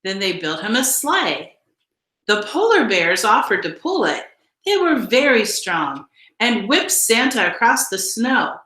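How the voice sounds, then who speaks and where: A woman reading a children's picture book aloud in an expressive, deliberate storytelling style. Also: mid-range voice, reverberant. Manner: measured, deliberate storytelling delivery with emphasized phrases. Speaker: storybook reader.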